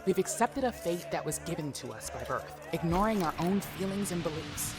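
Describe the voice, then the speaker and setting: A woman reading a scripted promo in a dramatic, rousing way. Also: lowish voice. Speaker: promo narrator.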